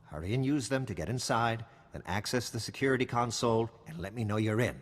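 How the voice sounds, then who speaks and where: A man's voice: medium pitch, quick pace, big-room sound, natural voice. A man voicing a weary, wry intelligence officer in a video game, briefing in a matter-of-fact, conspiratorial way. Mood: focused.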